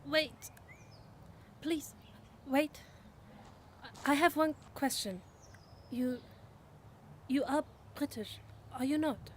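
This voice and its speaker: A polite war widow, acted by a woman. Her high voice moves slowly and haltingly through a radio play. Faint ambient noise sits behind her. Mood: puzzled, pleading. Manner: halting, tentative. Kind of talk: student radio drama acting.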